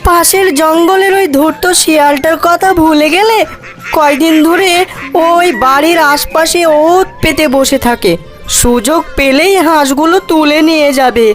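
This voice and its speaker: A woman's voice: sits high, measured pace, soft background music. A woman playing an anxious village farmer's wife in a cartoon, speaking in a plaintive, emotive way. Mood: worried.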